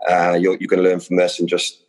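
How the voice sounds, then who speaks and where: Welsh man, Welsh accent, very deep voice, relaxed, friendly, long echo. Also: fairly quick. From Wales. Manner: relaxed, friendly, uses fillers. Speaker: guest, youth soccer coach. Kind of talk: casual podcast interview. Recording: long reverb.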